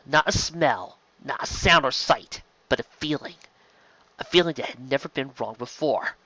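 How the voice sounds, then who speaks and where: A man narrates a story in a bright, forceful voice. He reads scripted prose dramatically. The recording is very dry and a little dull. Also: mid-range voice, normal speed, dry recording. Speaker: reader of fan fiction.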